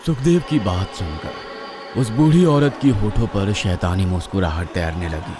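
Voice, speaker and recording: A man's voice: deep voice, moderate pace. A man narrating a scripted horror story in a dramatic storytelling style. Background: music.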